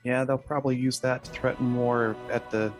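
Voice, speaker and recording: A man's voice: mid-range voice, little pitch movement, moderate pace, faint music behind. Casual, hesitant man with a mid-range voice. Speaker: player in a tabletop role-playing game. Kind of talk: casual improvised game play.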